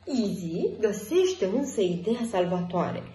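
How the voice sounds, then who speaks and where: A woman reading a children's story aloud in an expressive storytelling style. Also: mid-range voice. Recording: roomy echo, faint music.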